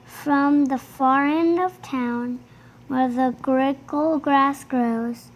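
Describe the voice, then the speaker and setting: Young girl, high voice, beginning reader, very slow read-aloud, stumbles on tricky words and repeats them. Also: fairly even intonation, poor audio. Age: child. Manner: sounds out hard words, repeats after a helper. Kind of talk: halting read-aloud of a rhyming storybook.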